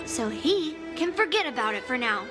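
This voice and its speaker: Young female sword prodigy, game role: high, confident, blunt and cocky, over clear music. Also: sing-song, moderate pace. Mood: indignant, smug. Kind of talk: video game voice acting.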